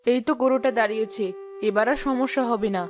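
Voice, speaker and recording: A woman's voice: on the high side, fairly even intonation, quick pace, natural voice. An actress playing a practical, anxious mother in a poor village household, in a cartoon story. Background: music.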